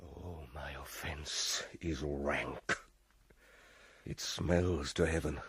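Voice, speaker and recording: An actor playing a scheming, guilt-ridden usurper king in a Shakespearean radio drama, grave and declamatory in a husky voice. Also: rumbling low, fairly even intonation, drawn-out words, fairly bright tone, full character voice. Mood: anguished, guilty.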